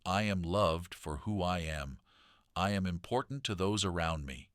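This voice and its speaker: Storybook boy hero, read by a man: deep, dry sound, earnest, kind, clear and encouraging. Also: lively intonation, a little slow, a bit bright, no echo. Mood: self-assured.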